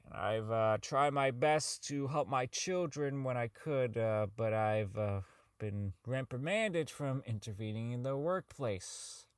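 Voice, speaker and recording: A man playing a socially inept, bitter robotics engineer in an audio drama, rambling and stammering through profane log entries as he slowly comes unhinged. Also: mid-range voice, sharp tone, no echo, clean studio sound. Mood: resentful, subdued. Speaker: voice actor in a fan-made audio drama. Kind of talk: dramatic monologue as a recorded log.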